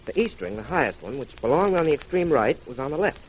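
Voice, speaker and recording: A man plays a sharp radio detective from a 1940s crime drama. He explains with confident, deliberate delivery and lively swings of pitch. The old recording is dull, with a faint hiss. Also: lightly acted. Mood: confident, explaining. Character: sharp, self-assured male detective explaining how he solved it.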